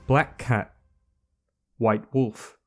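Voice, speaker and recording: A man's voice: mid-range voice, fairly even intonation, very slow. A man narrating a scripted video, reading out items one by one. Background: background music.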